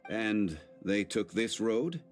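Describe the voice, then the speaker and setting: A calm, scholarly gentleman from an anime game. His voice is low and dignified, with formal wording and lively inflection. Faint music sits behind him. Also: slow. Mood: composed, inquiring. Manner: formal, composed. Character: calm, dignified, scholarly ancient man of measured speech. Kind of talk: anime video game voice acting.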